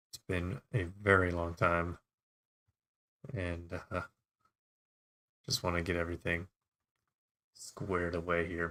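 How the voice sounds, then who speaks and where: A man hosting a casual fly tying livestream, a little raspy from yelling, chatting easily while setting up his gear. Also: low voice.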